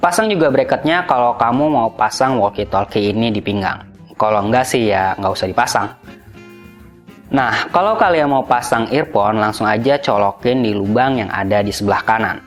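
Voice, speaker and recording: A man hosting a gadget review, narrating in a casual, explanatory style. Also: lowish voice. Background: background music.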